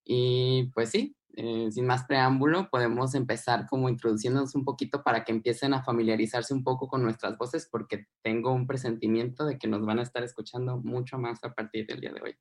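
A man's voice: mid-range voice, fairly even intonation, brisk, hardly any pauses. A young man representing a student club on a radio show, speaking hesitantly with many fillers as he introduces teammates. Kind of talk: casual radio chat.

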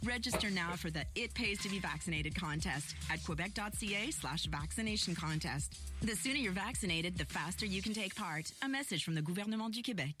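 A woman's voice: fairly low pitch, animated, fairly quick, over music. A woman reading a public service advertisement in an upbeat promotional voice. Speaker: announcer.

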